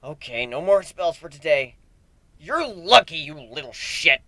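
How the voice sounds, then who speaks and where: A man's voice: on the high side, very animated, unhurried, sharp tone, no room sound, exaggerated voice. A man voicing an exhausted, foul-mouthed, hot-tempered and sarcastic griffin in a dramatic story reading. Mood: pained fury. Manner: grumbling, sarcastic, prone to cursing outbursts.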